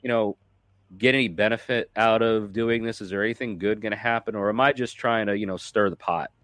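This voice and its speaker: A man's voice: on the low side, fairly even intonation, fairly quick, fairly bright tone, dry recording, clean studio sound. A man nearing forty, a podcast guest, talking in a laid-back way and often saying "dude" and "like". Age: late 30s. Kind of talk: casual podcast chat.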